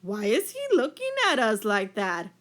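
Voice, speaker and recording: A woman's voice: medium pitch, huge pitch swings, moderate pace, a bit bright. A woman voicing an irritable, suspicious male storybook fish who exclaims and accuses in alarm.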